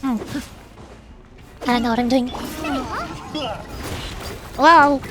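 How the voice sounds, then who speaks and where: A woman's voice: sits high, fairly even intonation, takes it slowly, over music. A woman livestreaming gameplay as a vtuber, chattering playfully to herself with little babbled sound words. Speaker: vtuber game streamer. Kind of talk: livestream gameplay commentary, reading game dialogue aloud.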